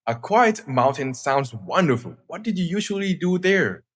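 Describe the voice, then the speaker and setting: A man's voice: a little high, big pitch swings, moderate pace, a bit bright, husky. A twenty-two-year-old man with a Thai accent, presenting a scripted class talk in a friendly way. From Thailand. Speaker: student presenter.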